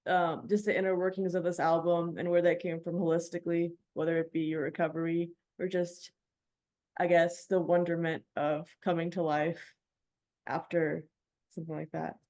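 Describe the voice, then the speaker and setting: A woman with a slightly low voice hosts a casual radio interview. She speaks as the DJ, putting questions to a guest in an informal conversational style. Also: flat delivery, moderate pace, mellow.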